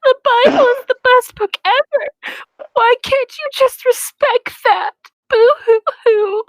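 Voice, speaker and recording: A woman's voice: extremely high pitch, slow, dry recording, voice pushed up, exaggerated voice, rough recording. A woman voicing an indignant, pious teenage schoolgirl from a story, whiny and melodramatic with mock crying. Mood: tearful, indignant.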